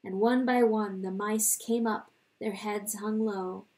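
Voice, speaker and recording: A woman reading a literary story dramatically, in a solemn, deliberate narrating style. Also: mid-range voice, steady tone, warm tone, far from the mic, rough recording. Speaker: audiobook reader.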